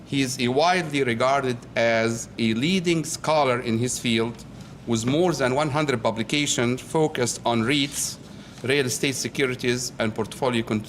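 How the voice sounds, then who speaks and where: A man of ordinary pitch, reads a prepared introduction at a university event. He is measured and careful, tripping over a word now and then. A faint hum sits under the voice. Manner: measured, reads from notes with small slips. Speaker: faculty host introducing a keynote speaker.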